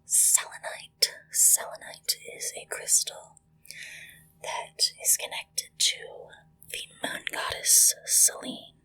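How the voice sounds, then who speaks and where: A woman whispering gently and deliberately into a close microphone, leading a ritual in ASMR style with hushed pauses. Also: rough recording. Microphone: close-up sensitive microphone.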